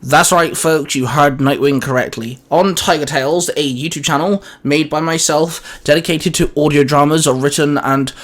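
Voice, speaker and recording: Casual, higher-voiced man co-hosting geeky chat. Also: fairly even intonation, normal speed, hardly any pauses. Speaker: co-host.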